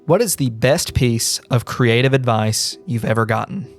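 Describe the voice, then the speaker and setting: A man's voice: mid-range voice, normal speed. Male interviewer over guitar music. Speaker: interviewer, host. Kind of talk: prepared interview questions.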